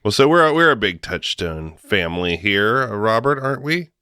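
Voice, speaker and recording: A man's voice: on the low side, big pitch swings, normal speed, bright, forceful tone, clean tone, no echo, studio quality. A man co-hosting a podcast, chatting casually with a playfully theatrical manner. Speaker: podcast co-host. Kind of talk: casual chat.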